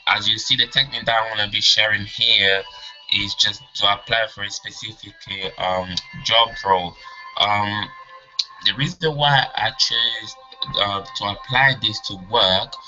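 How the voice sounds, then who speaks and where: Male course presenter, 20s, Congo-born non-native English speaker, very sharp bright tone, hesitant delivery, music underneath. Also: lowish voice. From Congo, then England. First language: not English. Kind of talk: informal lesson with personal story. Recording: dull lo-fi audio, music behind.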